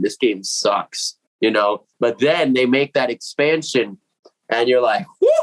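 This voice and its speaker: Animated young man in casual chat. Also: medium pitch, low-quality recording. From Puerto Rico. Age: 20s. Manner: animated, slangy, swearing, acts out his own reactions. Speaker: metal vocalist interviewee. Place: home room with cats.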